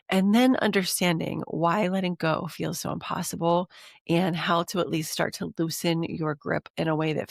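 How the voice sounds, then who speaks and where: Stuffy-nosed lady host, gravelly voice, super dry close sound, talks you through big lists. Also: low-pitched, no room sound. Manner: earnest, encouraging, repeats lists for emphasis. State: congested from a cold. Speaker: podcast host. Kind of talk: coaching monologue.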